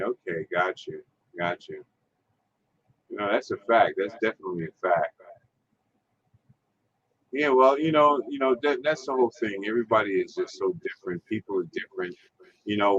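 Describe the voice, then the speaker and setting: A man's voice: sits low, muffled recording. A man hosting a casual chat, laid-back and fond of repeating his agreement. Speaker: host.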